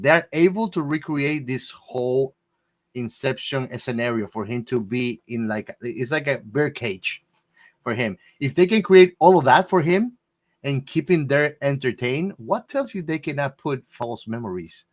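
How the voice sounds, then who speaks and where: A man's voice: mid-range voice, expressive, moderate pace. Emphatic man in casual film discussion. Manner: emphatic, repeats phrases to drive points home. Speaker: movie discussion podcast co-host.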